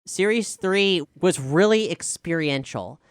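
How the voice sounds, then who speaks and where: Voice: medium pitch, animated, unhurried, clean tone, no room sound, studio quality. A podcast showrunner and host talking casually with guests, then reading out an earnest promotional announcement to listeners.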